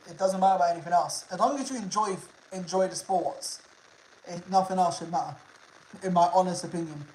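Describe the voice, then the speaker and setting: A man's voice: high voice, a little mellow. A man from Liverpool with no regional accent, chatting casually on a nature livestream and answering viewers, laid-back and swearing freely. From Liverpool, England. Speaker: nature streamer. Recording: echoey room.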